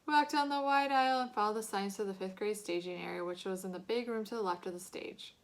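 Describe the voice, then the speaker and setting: A woman narrating a children's novel aloud in an expressive, scripted storytelling style. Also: medium pitch, fast. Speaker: audiobook-style reader.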